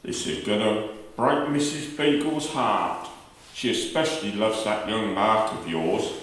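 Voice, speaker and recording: A man's voice: on the low side, unhurried, a bit bright. A man playing a kindly, fatherly older neighbour in a radio play, with a tender manner. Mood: tender, pained. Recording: distant, very echoey room recording.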